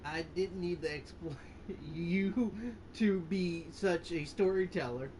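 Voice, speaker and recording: A gaming channel host giving casual gameplay commentary, reacting aloud in halting phrases. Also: mid-range voice, noisy background. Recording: game music under the voice.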